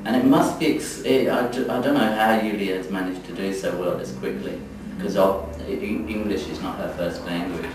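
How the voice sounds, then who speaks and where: Low-voiced man coaching in an echoey room. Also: fairly even intonation. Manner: halting, searching for words, hesitant phrasing. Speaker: yoga teacher trainer leading a group class. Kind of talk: informal spoken coaching of a group. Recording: echoey room, distant mic, background noise.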